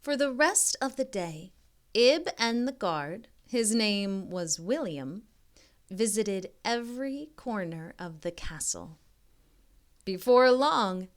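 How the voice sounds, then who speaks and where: Slow-paced woman reading aloud to children. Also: medium pitch. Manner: expressive, deliberate reading with hushed phrases; informal, encouraging asides addressed to children. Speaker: reading tutor and storybook reader. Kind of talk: modeled children's read-aloud with chatty asides.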